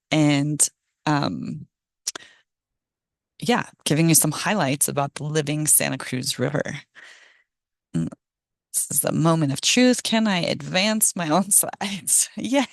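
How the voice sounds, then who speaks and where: A middle-aged woman, hoarse from a cold, giving a casual presentation on a video call with many ums and small laughs. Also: deep voice, dry recording, studio quality. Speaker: guest speaker, ecologist. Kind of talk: online meeting presentation.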